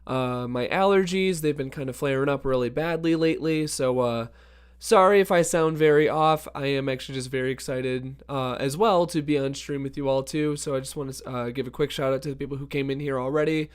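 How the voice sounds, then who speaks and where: A man hosting a birthday livestream in a casual, upbeat way, greeting chat viewers by name, his voice hoarse from allergies. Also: medium pitch, brisk. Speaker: livestream host.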